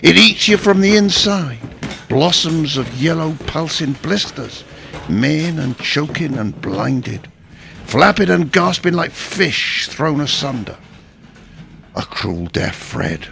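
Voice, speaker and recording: A man acting in a radio drama as a gruff, anxious, haunted older war veteran. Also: a little high, expressive, takes it slowly, a bit bright, full character voice.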